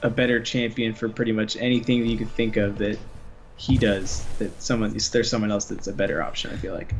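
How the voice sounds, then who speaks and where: A man's voice: on the low side, level tone, fairly quick, a little hiss or hum. A man guest-commentating on a gaming livestream, easygoing and rambling, laughing often between thoughts. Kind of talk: casual gaming livestream chat.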